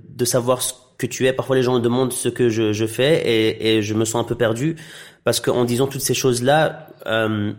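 Hesitant man chatting in an interview. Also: medium pitch. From Iraqi heritage, raised in Tunisia and Belgium. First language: Arabic. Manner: hesitant, many fillers and restarts. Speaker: interview guest, actor and director. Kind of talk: casual interview chat.

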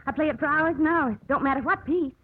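An eager, artless small-town wife played by a woman in a 1950s radio drama. Her voice is high and childlike, with folksy, unpolished speech. The recording is thin and tinny. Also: fast, lightly acted.

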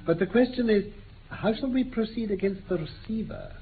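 An actor playing an impish, self-assured British detective in a 1950s radio drama, with courteous, theatrical, mock-deferential manners. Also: male voice, high-pitched, moderate pace. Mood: sly, polite. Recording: muffled, noisy 1950s radio recording.

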